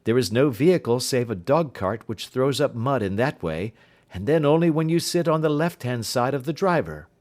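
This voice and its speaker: Male reader as confident gentleman detective: courteous, precise, reassuring, formal Victorian diction, storybook delivery. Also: medium pitch, animated, normal speed, close to an everyday voice. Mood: confident, explaining. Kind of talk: audiobook character voice.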